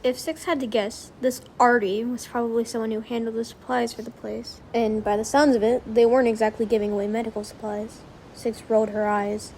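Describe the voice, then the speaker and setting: A woman's voice: on the high side, gravelly, dark tone, a little hiss or hum, poor audio. A woman narrating a story from a script, as in an audiobook of fan fiction.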